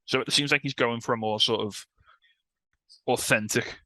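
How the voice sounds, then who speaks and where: Guy doing casual podcast news chat, crisp bright voice, dry close sound, bit sweary. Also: mid-range voice, steady tone, moderate pace, no room sound. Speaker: podcast host.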